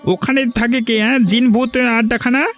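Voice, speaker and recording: A man's voice: extremely high pitch, steady tone, normal speed, fairly bright tone, faint music behind. A man voicing a self-important village landlord in a moral story cartoon, pompous and full of questions. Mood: skeptical, questioning. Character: self-important male village landlord, grudging but obliging.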